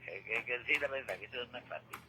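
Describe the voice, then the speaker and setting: A man's voice: mid-range voice, very fast. An older man giving opinions as a caller on local radio, emphatic and repetitive, seeking agreement. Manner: emphatic, repeats himself, seeks agreement. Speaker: guest caller. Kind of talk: opinionated conversational radio commentary. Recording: dull telephone line with faint noise.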